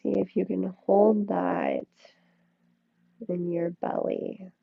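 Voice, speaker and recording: A woman leading a yoga class with slow, soothing guided instruction, speaking in short phrases with long pauses. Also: fairly low pitch, fairly even intonation, gravelly, no echo. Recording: thin, phone-like band-limited sound, dry.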